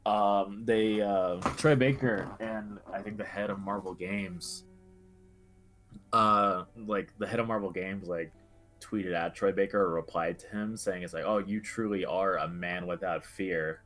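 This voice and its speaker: A man's voice: sits low, fairly even intonation, unhurried, a bit bright, soft background music. A man co-hosting a podcast, chatting casually about video games. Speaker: podcast co-host.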